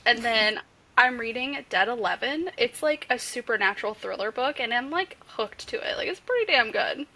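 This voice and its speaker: Bubbly woman cohost, kinda high, bright voice, chatting about books. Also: expressive, rough recording. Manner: bubbly, quick to laugh. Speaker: podcast co-host. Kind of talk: casual podcast chat.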